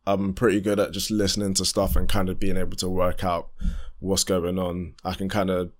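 A young man, a musician chatting casually in an interview, relaxed and full of fillers and restarts. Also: moderate pace. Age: young adult. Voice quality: deep voice. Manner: relaxed, rambling, many fillers and restarts, laughs often. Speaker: independent musician guest.

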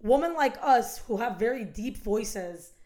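A young woman in casual chat, her voice loud and animated and often breaking into laughter. She sits a little above the usual female range as she tells a story to a friend. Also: fairly high pitch, measured pace. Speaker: podcast host.

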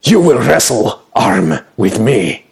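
A man voicing a huge, proud goliath warrior who speaks in clipped, broken sentences and chants and booms his words. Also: sing-song, takes it slowly, creaky, a little mellow, heavily acted. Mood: pleading. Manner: booming, chanting, clipped grammar.

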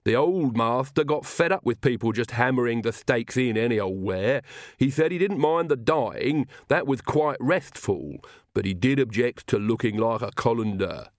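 A man voicing a loyal, proud, gloomy monstrous castle manservant in an audiobook, with slow, deliberate, deferential talk. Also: medium pitch, expressive, no echo, studio quality, natural voice. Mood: proud, obliging.

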